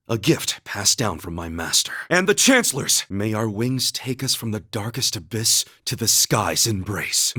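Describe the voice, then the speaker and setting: An actor playing a calm, earnest young warrior in a video game, humble and loyal, with a measured, formal way of speaking. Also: male voice, lowish voice, breathy edge, heavily acted. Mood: alert, then grave. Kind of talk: scripted video game voice acting, battle cries and story dialogue.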